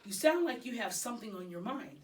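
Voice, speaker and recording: A woman's voice: on the high side, lively intonation, a little fast, far from the mic, natural voice. A woman playing a kindly, affectionate male janitor talking to a pet in a children's storybook. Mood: friendly, curious.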